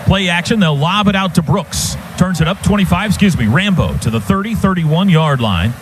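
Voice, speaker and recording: A man with a high voice calls live American football on the radio. He speaks in short, urgent bursts, tracking each move as it happens, with clear outdoor stadium noise around him. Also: poor audio. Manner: clipped rapid phrases, building excitement. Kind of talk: live sports commentary.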